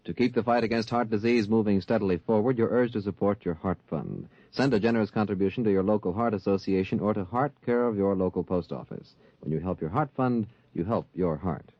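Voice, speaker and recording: A man's voice: lowish voice, a little fast, hardly any pauses, no echo. A man with an American accent reading scripted announcements in the style of 1950s network radio. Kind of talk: scripted 1950s radio public appeal and promo. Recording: dull old radio transcription.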